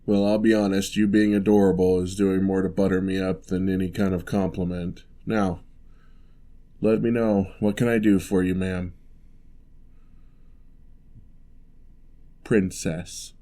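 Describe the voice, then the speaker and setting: A man playing a huge, gentle, courteous giant in an ASMR roleplay, speaking softly with wry, teasing, old-fashioned politeness. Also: low voice, flat delivery, lightly acted. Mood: warm, teasing. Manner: unhurried, soft-spoken, old-fashioned courteous phrasing.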